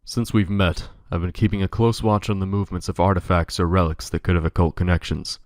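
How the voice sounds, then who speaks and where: A man playing a brooding, protective playboy detective in an audio drama, speaking in a grave, measured way. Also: low-pitched, little pitch movement, darkish tone, no room sound, clean studio sound, close to an everyday voice. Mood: grave, insistent.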